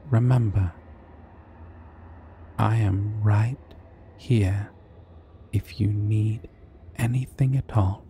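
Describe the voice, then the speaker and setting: A man voicing a shy, sweet, gentle dragon in an ASMR roleplay, speaking in a hesitant, tender and soothing way. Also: fairly low pitch, vocal fry, slight background noise. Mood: sleepy, tender. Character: shy, sweet, gentle young male dragon, eager to please.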